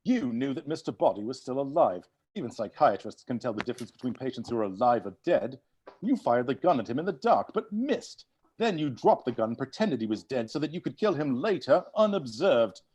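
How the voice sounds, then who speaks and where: A man playing a brisk, theatrical butler who unravels murders with animated, accusing declamation in a read-through of a film script. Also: mid-range voice, husky, rough recording.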